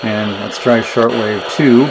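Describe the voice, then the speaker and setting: A man giving a casual, unscripted gadget demonstration, thinking aloud in a low-key, hesitant way. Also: flat delivery, measured pace. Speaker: vintage radio reviewer demonstrating gadgets. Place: indoors in a basement. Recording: noisy recording with radio static. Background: radio static and hiss.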